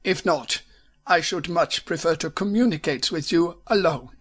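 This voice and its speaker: A man's voice: fairly high pitch, measured pace, fairly bright tone, slightly rough, voice pushed up. A man voicing a masked Bohemian nobleman who is formal and commanding, with a German accent. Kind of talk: audiobook character voice.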